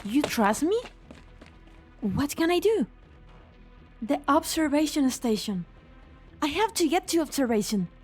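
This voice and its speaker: A woman plays a young female pioneer in a fan-dubbed game story. Her voice is high and earnest with an anxious urgency, over quiet music. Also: sing-song, a little slow, long pauses. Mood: earnest, worried.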